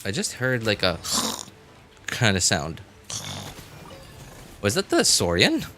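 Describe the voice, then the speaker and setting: A man giving casual live gameplay commentary, thinking aloud in a halting way with sarcastic asides. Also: on the low side, wildly swooping pitch, sharp tone, faint music behind. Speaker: game streamer.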